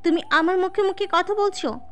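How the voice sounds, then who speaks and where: A woman's voice: very high-pitched, moderate pace, soft background music. A woman playing a possessive, hot-tempered, haughty young woman in a melodramatic audio story. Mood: furious.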